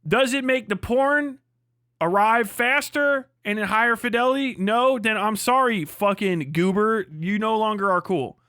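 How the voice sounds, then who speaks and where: A man's voice: high voice, unhurried, bright, forceful tone, clear, resonant tone, no echo, clean studio sound. A man, perhaps middle-aged, ranting casually on a livestream in a brash, mocking, profane and emphatic way. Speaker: livestream host.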